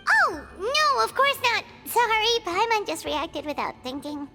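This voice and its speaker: A woman voicing a tiny, blunt, excitable fairy companion in an anime game, with a squeaky childlike voice. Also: measured pace, full character voice. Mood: flustered. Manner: exclamatory, refers to herself in third person. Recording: music behind the voice.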